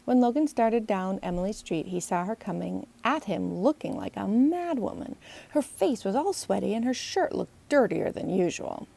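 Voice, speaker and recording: Animated woman reading a children's story. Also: medium pitch, normal speed, creaky. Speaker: author reading own book aloud. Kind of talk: expressive children's storybook narration.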